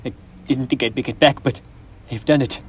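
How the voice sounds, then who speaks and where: A man's voice: mid-range voice, steady tone, a little fast, natural voice. A young man playing old Scrooge, pleading. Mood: fearful. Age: teens. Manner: earnest, imploring. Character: old male miser Scrooge, fearful and pleading. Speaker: stage actor. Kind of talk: school stage play.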